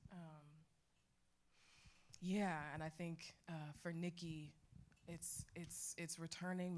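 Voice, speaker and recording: A woman actor speaking unscripted in a post-show discussion, thoughtful and halting as she searches for her words. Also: deep voice, a bit bright. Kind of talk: reflective, unscripted panel talk.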